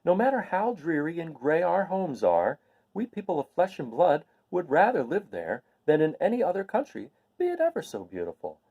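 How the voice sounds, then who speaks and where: A man voicing a gentle, plain-spoken and earnest young farm girl in a storybook reading. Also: a little high, huge pitch swings, normal speed, husky, phone-quality sound, voice pushed up, heavily acted. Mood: firm, homesick.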